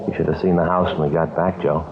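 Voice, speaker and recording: A man's voice: very deep voice, level tone, fast talker. An American man playing a put-upon married police detective, chatty and folksy in his complaining, in a 1950s radio drama. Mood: weary, exasperated. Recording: muffled, noisy old radio broadcast.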